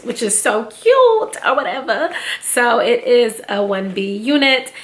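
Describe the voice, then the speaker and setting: A young adult woman reviewing a wig in a casual beauty vlog, chatty and slangy, with her voice a little off from feeling sick. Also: mid-range voice. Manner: chatty and slangy, addresses viewers as sis and y'all.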